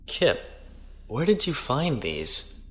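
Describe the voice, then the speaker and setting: A man playing a dutiful, reserved naval lieutenant in a science fiction audio drama, speaking in a formal, measured way. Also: mid-range voice, sing-song, fairly bright tone. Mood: dry, resigned. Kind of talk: audio drama acting, log narration.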